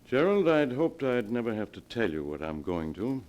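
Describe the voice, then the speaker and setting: An actor playing a solemn, measured family doctor in a 1940s radio drama, on a vintage recording. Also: male voice, mid-range voice, big pitch swings, darkish tone, lightly acted. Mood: solemn, confiding. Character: grave older male family doctor, gently explaining.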